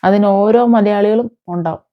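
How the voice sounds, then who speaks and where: A woman's voice: mid-range voice, fairly even intonation, moderate pace, highs cut off. A woman delivering an impassioned, confrontational vlog rant, scolding someone with repeated rhetorical questions. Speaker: vlogger. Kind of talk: impassioned rant addressed to an absent person.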